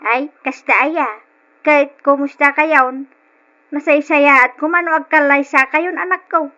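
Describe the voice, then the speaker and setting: A woman's voice: sits high, steady tone, unhurried, bright, forceful tone, muffled recording. An actress playing a caring, concerned middle-aged mother in an old-style radio drama, earnest, with an Ilocano accent. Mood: concerned, gentle.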